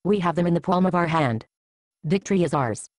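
A low voice in a very dry recording, playing a grandiose robot conqueror from a cartoon acted by a woman. The delivery is pompous, formal and declamatory, with cold logic behind every line. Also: lively intonation, fairly quick, dry recording, lightly acted. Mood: coldly triumphant. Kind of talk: scripted animated comedy dialogue.

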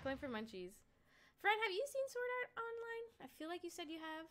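A woman's voice: very high voice, very animated, brisk, very noisy. A young woman whose first language is Spanish, chatting casually and giggling while streaming a game, swearing now and then. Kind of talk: casual live-stream chat while gaming. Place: gaming room at home, indoors. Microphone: headset. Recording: game music loud under voice. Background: loud game music.

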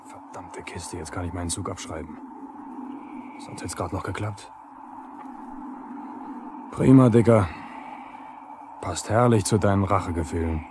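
A resentful younger lineman in a 1970s East German radio drama. He grumbles defiantly in a deep voice. The vinyl recording is clearly noisy. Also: a little slow, long pauses, a little mellow. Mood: bitter, defiant. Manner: grumbling, colloquial.

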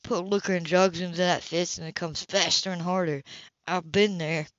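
A woman's voice: fairly low pitch, fairly even intonation, normal speed, dry recording, highs cut off, natural voice. A woman reading an audiobook, voicing a blunt, bitter young man who speaks in a hard, sarcastic, cutting way. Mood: cold.

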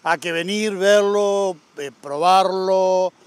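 Upbeat Uruguayan farm machinery dealer. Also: male voice, high voice, takes it slowly, clean tone, no echo. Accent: Uruguayan Spanish. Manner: upbeat salesman, filler-heavy with frequent "este". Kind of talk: casual outdoor interview. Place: outdoor agricultural fair among tractors.

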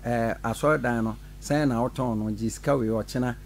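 A middle-aged man, a pastor from Ghana, telling his side in an animated radio studio interview. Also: mid-range voice, normal speed, creaky, soft background music. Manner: animated, gestures while talking, laughs often. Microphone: studio mic on boom arm.

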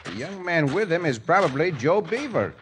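An actor playing a courtly Mexican hero in a 1950s radio drama, speaking formal English. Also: male voice, a little high, sing-song, moderate pace, a bit bright. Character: Cisco Kid, suave Mexican caballero hero. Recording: noisy old radio broadcast.

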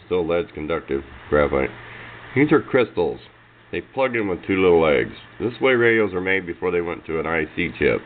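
A man giving a casual, hands-on explanation as a hobbyist, rambling along in folksy, informal speech on noisy, muffled amateur camera audio. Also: low-pitched. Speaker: hobbyist presenter of radio demonstration.

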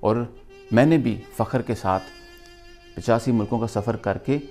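A middle-aged man with a Pakistani accent gives an earnest, emphatic motivational talk to camera in deliberate, paused phrases. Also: medium pitch, a little mellow, faint music behind. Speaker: vlogger, motivational speaker.

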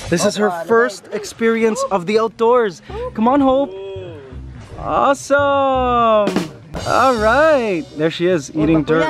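Excitable man vlogging outdoors, high voice. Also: slow pace, music in the background. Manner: excitable, coos baby talk at a chicken. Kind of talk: casual vlog. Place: outdoors on a farm. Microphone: handheld camera.

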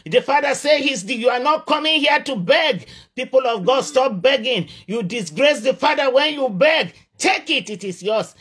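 A male preacher leading fervent prayer, shouting declarations and breaking into chanted tongues. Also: very high-pitched, animated, moderate pace, husky.

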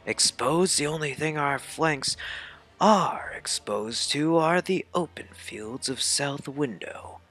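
Man acting ruthless enemy commander, scornful, commanding, raised pitch, video game dialogue. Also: animated, slow, fairly bright tone, exaggerated voice. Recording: game music behind.

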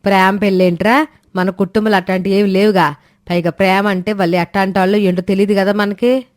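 A woman's voice: mid-range voice, level tone, quick pace, no echo, clean studio sound, lightly acted. A woman playing a grumbling, suspicious middle-aged mother-in-law in a cartoon moral story. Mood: scolding.

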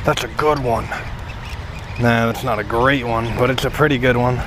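A young man fishing at a pond and talking to camera, exclaiming loudly each time he hooks a fish. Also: medium pitch, normal speed. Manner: excitable, exclaims at each catch. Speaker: fishing vlogger. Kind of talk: casual first-person fishing vlog. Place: outdoors at a pond by a large building. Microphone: head-mounted action camera. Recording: noisy outdoor action-cam audio.

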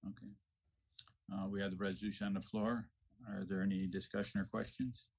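A man's voice: sits low, little pitch movement, a little fast, gravelly, a little mellow, slightly rough. A man chairing a board meeting with formal procedure.

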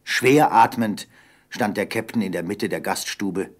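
A man with a husky voice giving scripted narration for an audio drama. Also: fairly low pitch, measured pace.

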